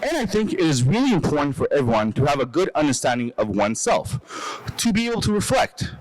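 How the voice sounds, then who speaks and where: A young man from Canada giving a prepared, reflective personal talk in an earnest, measured way. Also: rough recording. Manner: earnest, measured delivery with lively pitch.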